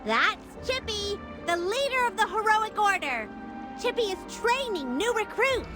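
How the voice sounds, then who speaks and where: A tiny, earnest hamster knight from a game, very high and lively in pitch. The delivery is proud and dutiful, over clear background music. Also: female voice, unhurried. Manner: earnest, refers to self in third person. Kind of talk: scripted game voice acting.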